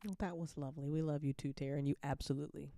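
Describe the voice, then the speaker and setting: A woman's voice: low voice, fairly even intonation, very fast, creaky, warm tone, no echo. A woman hosting a casual chat with playful banter, repeating phrases for emphasis. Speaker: show creator and after-show host.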